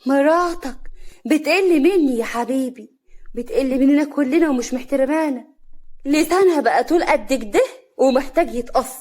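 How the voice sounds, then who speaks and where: A woman's voice: sits high, moderate pace, darkish tone, voice pushed up, natural voice. A woman voicing a scornful, domineering older mother-in-law in a story, scolding sarcastically in an Upper Egyptian accent. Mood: shrill complaint.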